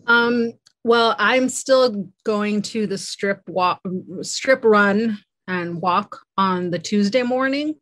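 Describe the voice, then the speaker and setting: A woman with a mid-pitched voice talks casually on an online group call. She pauses often as she speaks, and the sound is dry with little room around it. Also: fairly bright tone, dry recording. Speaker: fan event organiser.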